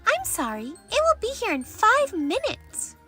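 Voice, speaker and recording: Storybook shopkeeper done by a woman, sorry to keep customers waiting, animated pitch, music underneath. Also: unhurried, exaggerated voice. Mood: apologetic. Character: apologetic shopkeepers asking the customer to wait.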